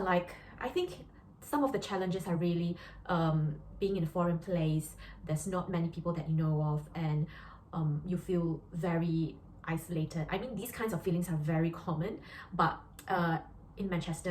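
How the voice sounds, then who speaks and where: A woman's voice: lowish voice, darkish tone. Chatty Malaysian woman in an echoey room. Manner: earnest, chatty, many fillers and restarts. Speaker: doctor. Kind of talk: casual vlog monologue. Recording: echoey room with faint noise.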